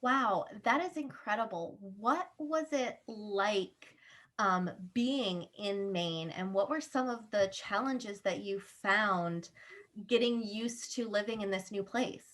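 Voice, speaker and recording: A woman's voice: medium pitch, slow pace, fairly bright tone. A woman hosting a live online interview over a video call, welcoming the audience and going through event housekeeping in an upbeat way. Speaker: interview host, board member.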